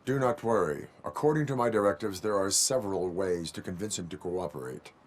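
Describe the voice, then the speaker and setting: A man playing a stoic cyborg police officer in a video game, speaking in a formal, measured, robotic deadpan. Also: low-pitched. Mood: calm, formal.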